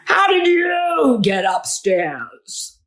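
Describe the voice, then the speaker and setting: A woman's voice: sits high, very animated, very slow, fairly bright tone, pitched above the performer's own voice, heavily acted. A woman voicing a puzzled, stern male night watchman in a storybook, asking demanding questions. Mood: surprised. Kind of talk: children's storybook performance.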